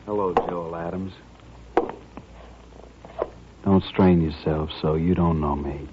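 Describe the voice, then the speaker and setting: An American actor playing a brooding, stubborn, vengeful man with a husky voice in a 1950s radio western. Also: low voice, steady tone, normal speed, noisy background, natural voice. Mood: bitter, accusing. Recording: tinny, band-limited old radio recording.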